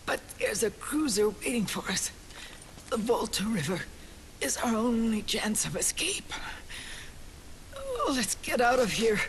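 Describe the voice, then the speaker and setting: Slow, strained female resistance leader. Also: a little high, expressive, rough recording. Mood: urgent, apologetic. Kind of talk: dramatic video game acting. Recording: clear ambient background noise.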